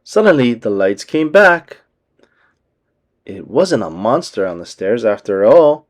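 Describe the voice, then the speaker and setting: A man reading a children's book aloud as an expressive storyteller. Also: mid-range voice, measured pace.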